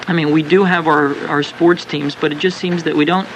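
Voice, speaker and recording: A man giving earnest radio interview remarks, speaking haltingly and repeating himself. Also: on the high side, steady tone, a bit bright. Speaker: interview guest, fan club member. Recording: noisy home cassette of radio broadcast.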